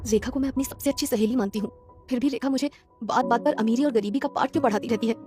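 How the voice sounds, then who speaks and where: A woman voicing a humble, devout, patient poor young woman in a cartoon moral story, speaking gently and earnestly. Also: a little high, fast talker, music in the background, lightly acted. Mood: hurt, reflective.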